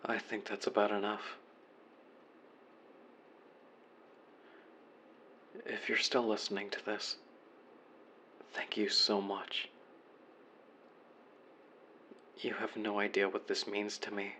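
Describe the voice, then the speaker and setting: A man's voice: fairly low pitch, little pitch movement, moderate pace, bright, forceful tone, slight background noise. A man playing a remorseful young man leaving an apologetic voicemail to his former best friend, earnest and confessional in an audio roleplay monologue. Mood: tender, resigned.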